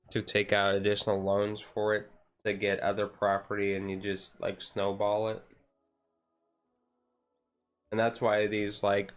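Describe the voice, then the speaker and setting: A man chatting casually on a chill livestream, rambling along with lots of "like" and "and stuff". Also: lowish voice. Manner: rambling, hedging with repeated "like" and "and stuff". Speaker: streamer. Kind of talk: relaxed ASMR chill stream.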